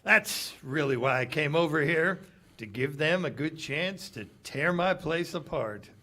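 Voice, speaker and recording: An actor playing a cynical, guarded saloon owner with a dry, sardonic manner, in 1940s-style radio theater. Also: male voice, a little high, expressive, unhurried, bright, forceful tone, heavily acted. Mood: wry, guarded. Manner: dry, sardonic, clipped replies.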